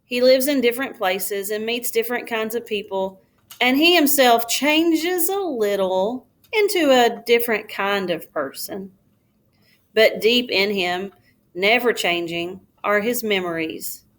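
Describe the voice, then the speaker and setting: A woman reading a children's storybook aloud like a schoolteacher, in a careful, expressive storytelling manner. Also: a little high, measured pace.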